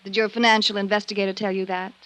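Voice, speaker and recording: Casting: actress for a cool, slippery suspect in a radio detective play, mid-pitched, pointed and theatrical. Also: female voice, little pitch movement, fast, a bit bright, natural voice, poor audio. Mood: cool, mocking. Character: cool, evasive married woman suspected of insurance fraud. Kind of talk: old-time radio drama acting.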